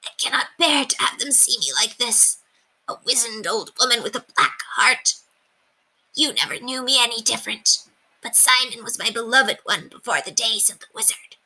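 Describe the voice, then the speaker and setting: A woman's voice: on the high side, lively intonation, moderate pace, sharp tone, slightly rough, pitched above the performer's own voice, full character voice, low-quality recording. A woman voicing a wizened old woman, tender and weighed down by guilt, in a storybook reading. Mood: anguished.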